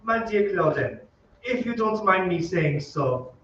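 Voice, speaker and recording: A scolding music teacher acted by a man in a radio play. He is blunt and reproachful in a high voice, far from the mic in a very echoey space. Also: animated, unhurried, a bit bright, slight background noise. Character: blunt, scolding male singing master. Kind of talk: old-time radio drama acting. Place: theatre stage, live reading. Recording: very echoey stage, far off, muffled.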